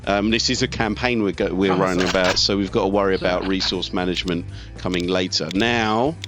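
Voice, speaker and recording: A man's voice: low-pitched, fairly even intonation, brisk. A man giving casual live commentary on a game, thinking aloud as he talks through his tactics. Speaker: let's play host. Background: video game music, artillery fire and vehicles.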